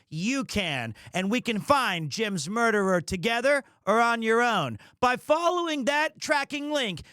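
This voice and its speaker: A man's voice: high-pitched, a bit bright, no room sound, studio quality. A man streaming live, giving a sponsor plug in a presenter's style. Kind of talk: sponsor plug during a livestream.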